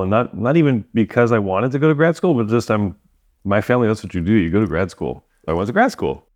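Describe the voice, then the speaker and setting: Male podcast guest, lowish pitch, informal halting chat, self-deprecating manner. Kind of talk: casual chat.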